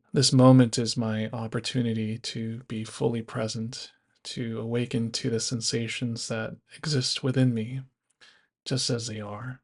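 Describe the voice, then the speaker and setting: A man guiding a body scan meditation as an instructor, speaking in measured first-person instructions. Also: medium pitch, gravelly.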